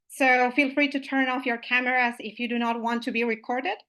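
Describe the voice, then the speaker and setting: A woman's voice: fairly high pitch, fairly even intonation, brisk, bright, forceful tone. A woman from Uruguay, opening an online meetup as its host, friendly and full of "uh" and "I mean" fillers, over a video call microphone.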